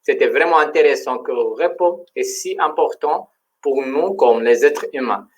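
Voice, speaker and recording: A man's voice: mid-range voice, moderate pace. A man co-hosting a French-language live stream, chatting casually, laughing often and restarting his sentences; French is not his first language. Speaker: co-host of a livestream.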